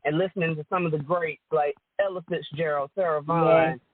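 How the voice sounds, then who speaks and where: A woman with a deep alto voice, chatting casually as a guest and laughing often. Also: steady tone, brisk, no echo. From Rome, Georgia, US. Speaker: guest singer and entertainer. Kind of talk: casual chat. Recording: dry phone-line call audio.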